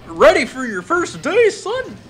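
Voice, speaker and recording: Goofy cartoon dog dad voice, way high and hammy, bit of background noise. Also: male voice, huge pitch swings, unhurried, full character voice. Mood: cheerful, hammy.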